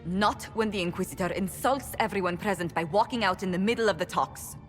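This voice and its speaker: An actress playing a poised but anxious court diplomat in a fantasy game, with formal, precise diction. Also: female voice, mid-range voice, fairly quick, faint music behind, natural voice. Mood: anxious, stern. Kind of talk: video game dialogue acting.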